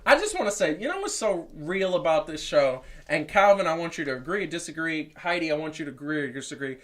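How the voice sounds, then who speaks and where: A man's voice: on the high side, lively intonation, fast, a bit bright. A man hosting a live sports chat show in a hyped, rambling way. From born South Carolina, raised New York. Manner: hyped, rambling, self-interrupting. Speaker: host.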